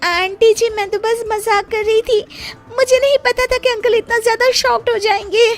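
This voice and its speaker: A woman voicing a playful, excitable young woman, a mischievous little sister in an animated story. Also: very high-pitched, moderate pace, faint music behind, pitched above the performer's own voice. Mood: frightened, pleading. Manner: giggly, excitable, pleading when scared.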